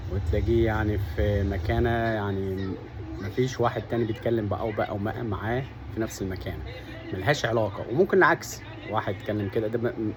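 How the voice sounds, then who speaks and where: An Upper Egyptian man with a broad Sa'idi accent talks straight to his viewers at a brisk clip. His pitch sits in an ordinary middle range. He speaks with steady conviction, counting off his points one after another. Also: noisy background. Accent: Upper Egyptian (Sa'idi) Arabic. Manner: earnest, emphatic, lists points one by one. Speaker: vlogger. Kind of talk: casual monologue to camera.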